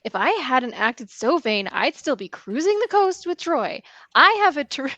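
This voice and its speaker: A woman's voice: sits high, very animated, measured pace, bright, forceful tone, dry recording, heavily acted. A woman playing a vain, anxious teenage girl fretting to herself in an amateur script read. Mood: vain, fretful.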